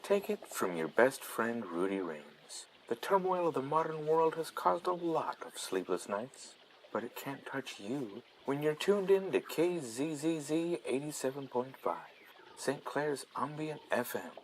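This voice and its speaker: A man playing a dreamy, soothing overnight DJ on an ambient radio station, speaking in a hushed, mock-serene, drawn-out way. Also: medium pitch, very animated, a little hiss or hum, poor audio. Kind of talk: parody late-night ambient radio announcing.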